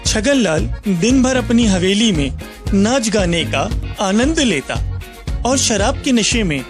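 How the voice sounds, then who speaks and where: A man's voice: high voice, lively intonation, takes it slowly, over music. A man narrating a scripted moral story as a storyteller.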